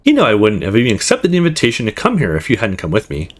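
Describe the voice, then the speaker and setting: A man's voice: on the low side, huge pitch swings, rapid-fire. A man voicing a sensible, sheepish young man in a visual novel, hesitant and reassuring.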